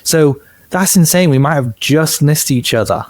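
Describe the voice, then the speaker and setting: A man's voice: a little high, normal speed, darkish tone, dry recording. A man hosting an interview, curious and eager, chiming in with questions and his own stories. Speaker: interview host. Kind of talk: casual interview chat.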